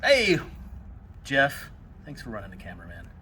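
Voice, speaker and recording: A man's voice: mid-range voice, wildly swooping pitch, moderate pace, bright, forceful tone, slight background noise. A young man with a Texas accent chatting casually in a home workshop, joking and rambling as he explains a build. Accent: Texan. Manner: joking, rambling, self-deprecating. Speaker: maker building a weapon.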